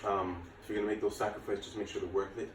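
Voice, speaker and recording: Male fitness instructor, late 30s, very low voice, informal and reassuring, recorded at a distance from the mic. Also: level tone, quick pace. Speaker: martial arts and fitness instructor. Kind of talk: casual workout instruction to camera. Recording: echoey room, distant mic, faint noise.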